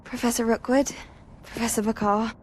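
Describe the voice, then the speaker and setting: A woman's voice: on the high side, flat delivery, moderate pace, slight background noise, close to an everyday voice. A woman playing an earnest, curious teenage witch student in a fantasy video game. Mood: polite, respectful.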